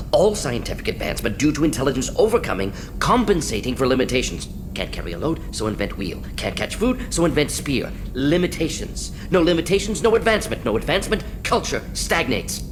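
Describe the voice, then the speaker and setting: Clipped, animated alien scientist. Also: male voice, fairly quick. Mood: urgent, regretful. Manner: clipped telegraphic delivery, dropping small words. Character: fast-talking alien salamander-like scientist, brisk, brilliant, blunt. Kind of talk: video game character acting. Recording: clear rumbling noise behind voice.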